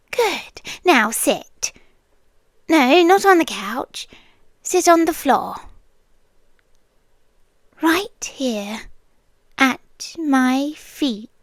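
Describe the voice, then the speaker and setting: Slow, breathy British yandere girlfriend, acted by a woman. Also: high-pitched, no room sound, clean studio sound, full character voice. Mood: commanding, coaxing. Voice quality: breathy, often dropping to a whisper. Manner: slow, deliberate, coaxing and commanding. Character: possessive, obsessive yandere girlfriend landlady, sweetly controlling. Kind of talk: intimate ASMR roleplay.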